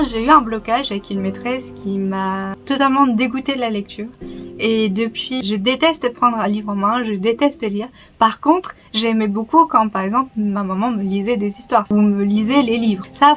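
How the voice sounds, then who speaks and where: Young woman, higher voice, casual vlog, reflective, candid, hesitant fillers, soft laughs, clear background music. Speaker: YouTuber. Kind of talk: casual personal vlog talk.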